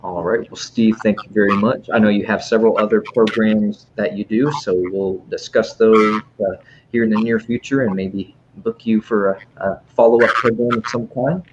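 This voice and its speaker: A man's voice: lowish voice, steady tone, normal speed. A man casually moderating an online library talk, reading out comments and chatting with a guest. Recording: dull lo-fi online call, clear background noise.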